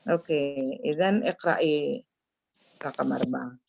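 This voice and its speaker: Deep-voiced female teacher giving firm, patient reading corrections over a muffled video call. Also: vocal fry, a little mellow, no echo. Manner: corrective, drills pronunciation, repeats words slowly. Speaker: Arabic teacher. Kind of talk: online class feedback.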